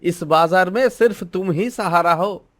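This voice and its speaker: A man's voice: sits high, measured pace, fairly bright tone. A man playing a fussy, blunt older market customer in a cartoon story, complaining freely. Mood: disgusted.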